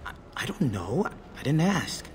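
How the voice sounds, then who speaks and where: Jumpy, high-pitched young street crook. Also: male voice, sing-song, a little slow, slight background noise. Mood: scared, reluctant. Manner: jittery, slangy, ungrammatical street talk. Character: nervous young male petty criminal, former street kid. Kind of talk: video game voice acting.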